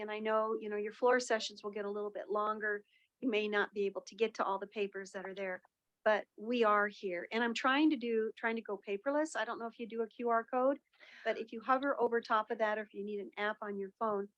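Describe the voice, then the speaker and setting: A woman chairing a meeting in a chatty, welcoming way, with many fillers. Her voice sits a little high. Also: fairly bright tone, muffled recording.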